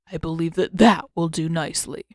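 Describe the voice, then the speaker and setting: A woman narrator plays an ancient-sounding menace from a fantasy audiobook, a monstrous spirit creature. It speaks in formal, deliberate phrases with cool politeness. The recording is dry and close. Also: sits low, fairly even intonation, no room sound, lightly acted.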